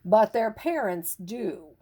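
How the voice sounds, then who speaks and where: An older woman narrating a children's picture book expressively, with deliberate dramatic emphasis and pauses. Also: mid-range voice, expressive, dark tone. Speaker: storyteller reading to children.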